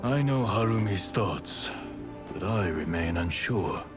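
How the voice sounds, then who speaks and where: A man's voice: low-pitched, animated, takes it slowly, over music. A man playing a grave, thoughtful warrior in a video game, restrained and serious. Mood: uncertain. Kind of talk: video game cutscene acting.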